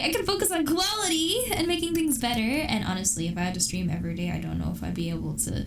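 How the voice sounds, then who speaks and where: A young woman streamer chatting casually with her viewers, giggling often and restarting her sentences as she rambles. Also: medium pitch. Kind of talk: casual chat with live chat. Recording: distant mic with clear background noise.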